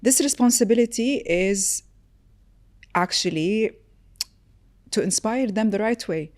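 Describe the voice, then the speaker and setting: A woman in her forties, a chef, talking as a podcast guest in quick animated bursts and laughing easily. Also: medium pitch. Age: 40s. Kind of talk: casual interview chat.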